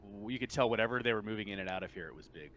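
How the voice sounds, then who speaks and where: A man's voice: lowish voice, animated, very fast, fairly bright tone. A man narrating a tabletop roleplaying game as game master, describing things in a conversational, hesitant way. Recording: noticeable background noise.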